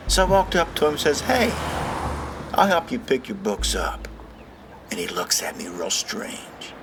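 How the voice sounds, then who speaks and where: A man's voice: mid-range voice, fairly bright tone, slight background noise. Folksy man telling a dramatic story. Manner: folksy, colloquial grammar. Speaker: narrator of true stories. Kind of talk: dramatic first-person storytelling.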